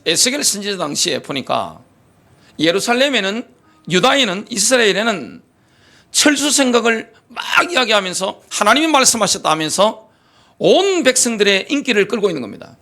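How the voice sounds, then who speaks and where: A middle-aged male pastor preaching in a church sanctuary with a high, lively voice that leaps up and down. His tone is bright and gravelly, and he presses his points with rhetorical questions. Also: normal speed. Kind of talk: sermon.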